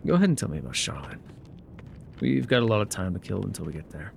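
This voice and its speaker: An actor playing a gruff, wry loner guide in an ASMR roleplay, with a dry, sardonic, unhurried delivery. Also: male voice, low-pitched, very animated, slight background noise, heavily acted. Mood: dry, curious. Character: gruff, wry loner man guiding a rider on foot.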